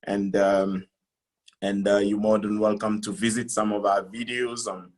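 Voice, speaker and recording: A middle-aged man from the Democratic Republic of Congo giving a business presentation on a video call, speaking in a halting, deliberate way. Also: fairly low pitch, flat delivery, darkish tone. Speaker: conference speaker, company founder.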